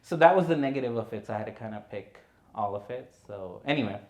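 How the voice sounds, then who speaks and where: A man, a filmmaker guest on a podcast, telling his story in a relaxed way full of "you know" and "right". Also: on the low side, fast, echoey room. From India. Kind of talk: conversational podcast interview.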